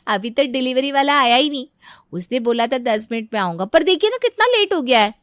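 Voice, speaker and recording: An actress playing a carefree young daughter-in-law, wheedling and childlike, in a comic family story. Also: female voice, high voice, expressive, fairly quick, fairly bright tone, dry recording, studio quality. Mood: breezy excuses. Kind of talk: animated family comedy story acting.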